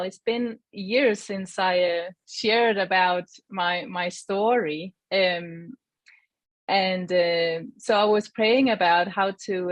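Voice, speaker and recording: A woman from Iceland, giving a gentle, reflective personal testimony as a guest and often searching for her words. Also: mid-range voice, dry recording.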